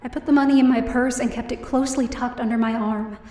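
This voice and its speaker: A woman's voice: on the high side, steady tone, fast talker, dark tone, close to an everyday voice. A woman playing a hopeful, down-on-her-luck heroine who tells her own story earnestly and expressively in an audio drama. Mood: reflective, hopeful. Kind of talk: first-person audio drama narration and dialogue. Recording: roomy echo, distant mic, music behind.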